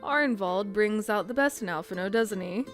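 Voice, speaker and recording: A woman's voice: medium pitch, normal speed, faint music behind. A woman game streamer giving casual commentary during a playthrough.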